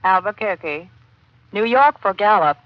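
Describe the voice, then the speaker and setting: An actress playing a courteous long-distance telephone operator in a 1940s radio drama, crisp and routine. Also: female voice, medium pitch, lively intonation, unhurried, close to an everyday voice. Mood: businesslike. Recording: dull lo-fi 1940s radio broadcast.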